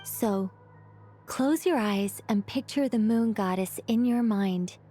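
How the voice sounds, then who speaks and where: A woman playing a wise, calm companion who speaks thoughtfully and gently guides others in a game cutscene. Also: medium pitch, slow, faint music behind. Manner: thoughtful, reflective, gently guiding.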